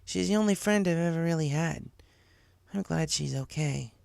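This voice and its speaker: A man's voice: on the high side, normal speed, gravelly, a little mellow, dry recording, pitched above the performer's own voice. A man voicing a brash, tomboyish young female pegasus pony with a casual, blunt way of talking in a story reading. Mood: wistful.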